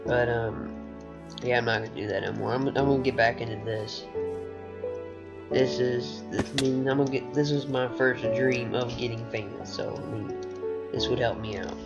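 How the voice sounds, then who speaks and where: A teenage boy with a recently deepened voice, rambling and chatty as he gives casual commentary over his own gameplay. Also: steady tone, moderate pace, creaky, muffled recording, loud music behind the voice. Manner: rambling, chatty, laughs often. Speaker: gaming channel host.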